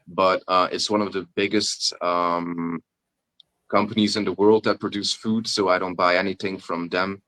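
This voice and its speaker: Man, Flemish accent, Dutch native, halting casual chat, forceful bright voice. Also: deep voice, no echo, low-quality recording. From Belgium (Flanders). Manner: halting, many fillers and restarts, laughs often. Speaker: rapper guest.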